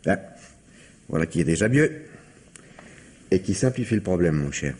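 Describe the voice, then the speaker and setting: A calm, insistent persuader from an old radio mystery. His voice sits low and sounds husky. It swings widely as he coaxes. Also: moderate pace. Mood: coaxing. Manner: insistent, coaxing. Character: calm, persuasive man pleading for mercy. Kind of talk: 1950s radio drama acting.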